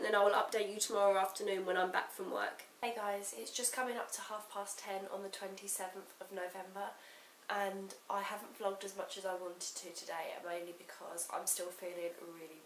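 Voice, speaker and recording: A woman chatting casually to camera in a vlog update, croaky and bunged up with a cold, talking in a rambling way. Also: mid-range voice, little pitch movement, brisk, far from the mic. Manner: rambling, talks straight to the camera. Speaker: writing vlogger. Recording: roomy echo, off-mic, faint hiss.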